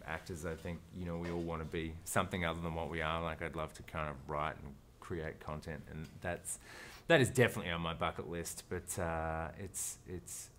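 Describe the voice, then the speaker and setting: Deep-voiced Australian man, rambling interview chat. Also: steady tone, a little fast, hardly any pauses, a bit bright, slight background noise. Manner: thoughtful, rambling, with frequent restarts. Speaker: actor, interview guest.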